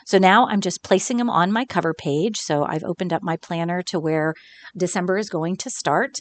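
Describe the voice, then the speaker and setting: Casual craft-channel host, female, a bit scratchy from a cold, relaxed chatty voice-over, lower pitch. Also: normal speed. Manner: chatty and relaxed, with fillers and small laughs. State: slightly scratchy from recent illness.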